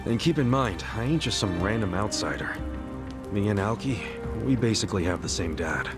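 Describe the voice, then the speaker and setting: An actor playing a big-hearted, stubbornly optimistic ex-convict hero in a video game, with brash, slangy, earnest delivery. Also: male voice, fairly low pitch, expressive, normal speed, loud music behind the voice, natural voice. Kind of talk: dramatic video game voice acting.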